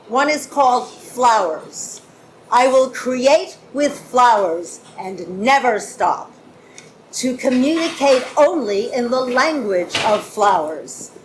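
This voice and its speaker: A woman from California reading her poetry live as a guest, with a brisk, chatty manner between lyrical lines. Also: a little high, slight background noise, rough recording. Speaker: guest poet. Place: bar venue, live audience.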